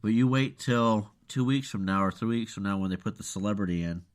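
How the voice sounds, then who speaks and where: A podcast host with a cold, sounding nasal and congested, chatting bluntly and offhand. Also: male voice, lowish voice, a little fast. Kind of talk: casual chat.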